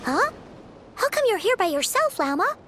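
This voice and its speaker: Very high squeaky fairy girl. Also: moderate pace, slight background noise, heavily acted. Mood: surprised, indignant. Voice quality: childlike, squeaky. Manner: animated, refers to herself in third person. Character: tiny excitable floating fairy girl companion. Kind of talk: video game voice acting.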